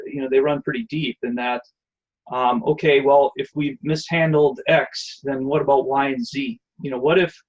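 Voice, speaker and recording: A man's voice: fairly high pitch, husky, highs cut off. A man giving an online presentation and answering questions, rambling with many fillers and restarts. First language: not Hebrew.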